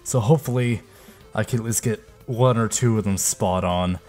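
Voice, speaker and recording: A man's voice: lowish voice, normal speed, darkish tone, soft background music. A young man in his twenties chatting casually and self-deprecatingly into a headset mic in a small room. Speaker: YouTuber doing game voice impressions. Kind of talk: casual vlog chat. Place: small room indoors at a desk.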